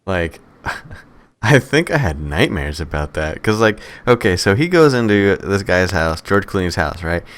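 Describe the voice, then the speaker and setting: A male podcast co-host with a low voice speaks in casual banter. He tells stories conversationally and swears now and then. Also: big pitch swings, unhurried, a bit bright.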